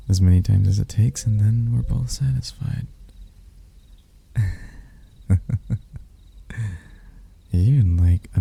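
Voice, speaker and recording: A man voicing a seductive, smug demon in human form, smooth-talking, teasing and husky, in an intimate ASMR roleplay. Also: fairly low pitch, dark tone, exaggerated voice. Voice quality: gravelly, husky.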